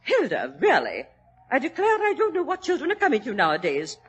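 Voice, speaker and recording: Fussy genteel English lady of the house, high voice that swoops about, easily alarmed, 1940s radio play, old broadcast sound. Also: huge pitch swings, fairly quick, husky, lo-fi sound, faint music behind, exaggerated voice. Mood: fretful. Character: fretful aristocratic English mother, older. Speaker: Lady Maynooth.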